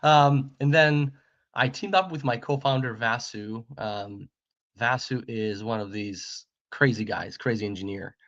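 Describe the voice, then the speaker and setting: A man's voice: mid-range voice, measured pace, fairly bright tone, no room sound. A man from Greece, around forty, a startup co-founder telling his story in an interview, friendly and repeating his words as he goes. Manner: friendly, repeats words, laughs between points. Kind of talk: interview conversation. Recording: dry muffled remote call audio.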